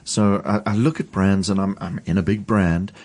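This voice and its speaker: A man's voice: low voice, steady tone, moderate pace, darkish tone. A man co-hosting a podcast panel chat, teasing his co-hosts. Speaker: podcast co-host.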